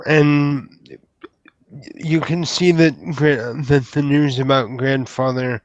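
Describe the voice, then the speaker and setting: Man playing a slow, halting, awkward knight. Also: medium pitch, fairly even intonation, no room sound, highs cut off, natural voice. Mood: awkward, solemn. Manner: halting, hesitant, hedging politely. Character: awkward, polite troll knight, flustered and formal.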